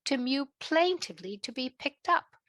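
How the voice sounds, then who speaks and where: A woman narrating a children's story in a gentle, deliberate way, with a British accent that comes and goes. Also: sits high, very animated, no room sound. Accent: British, fading in and out.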